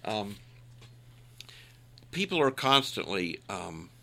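Sixties male co-host, low gravelly voice, folksy self-deprecating talker. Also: sing-song, takes it slowly, sharp tone. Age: 60s. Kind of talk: casual chat.

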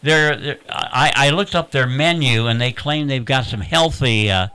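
Rambling elderly radio host. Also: male voice, mid-range voice, pushed and bright, smooth, dry recording, rough recording. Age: 80s. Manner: rambling, halting, chuckling storyteller. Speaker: radio talk host taking callers. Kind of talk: casual, unscripted reminiscing and chat.

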